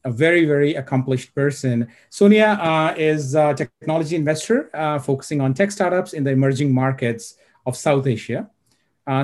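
A man hosts an online speaker-series interview. He gives formal introductions and asks questions, pausing often on hesitant "uh" fillers. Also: medium pitch, a little mellow.

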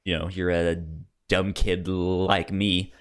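A man co-hosting a podcast in casual chat, halting and often repeating words as he goes. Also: low-pitched, little pitch movement, clear, resonant tone. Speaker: podcast co-host. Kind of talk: casual movie discussion chat.

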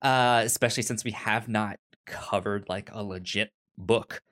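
Man, lowish pitch, podcast co-host, casual chat, halting, stuttered repetitions, informal. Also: steady tone, fairly bright tone. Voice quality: stutters, repeating syllables and words. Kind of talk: casual book and film discussion.

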